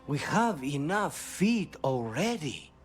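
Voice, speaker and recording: An actor playing a dry-witted, brooding assassin in a fantasy game, understated and deadpan. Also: male voice, on the high side, slow pace, faint music behind. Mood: dry, wary.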